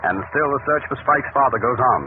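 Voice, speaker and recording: A man's voice: medium pitch, fast talker, loud music behind the voice, close to an everyday voice. A man performing as a solemn, portentous narrator in an old-time radio mystery, with dramatic scripted delivery. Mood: grave, suspenseful. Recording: tinny, noisy vintage radio broadcast.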